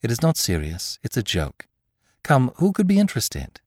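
A man's voice: medium pitch, huge pitch swings, moderate pace, darkish tone, dry recording, studio quality. A man voicing an earnest young detective in an audiobook, speaking in a soothing, earnest way.

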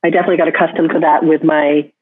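A woman's voice: low voice, little pitch movement, racing, dry recording. Woman musing aloud over a tinny phone line. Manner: thinks aloud with frequent restarts and "like". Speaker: photographer being interviewed. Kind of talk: reflective interview talk.

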